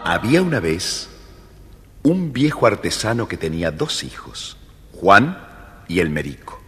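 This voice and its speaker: Deep guy narrating a kids story, very expressive, old reverby record. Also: unhurried, soft background music. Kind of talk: scripted fairy-tale narration. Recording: old record, long reverb, faint noise.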